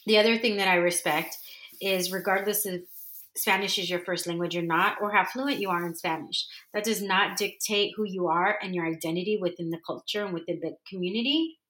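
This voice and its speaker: A woman's voice: fairly low pitch, a little fast, a bit bright. Woman podcast host from san diego, earnest, rambly, keeps correcting herself, echoey room. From San Diego, California. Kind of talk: casual solo monologue.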